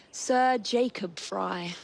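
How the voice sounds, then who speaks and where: A woman playing a composed, wry young adventurer in a video game, speaking softly. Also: medium pitch, gravelly, heavily acted. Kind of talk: video game cutscene acting.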